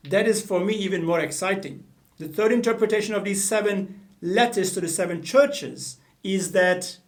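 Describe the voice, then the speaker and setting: A man's voice: high voice, far from the mic. A middle-aged man from Turkey with a Turkish accent, teaching the Bible earnestly to the camera from a small studio room, often repeating and correcting himself. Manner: earnest, gestures with both hands, repeats and self-corrects.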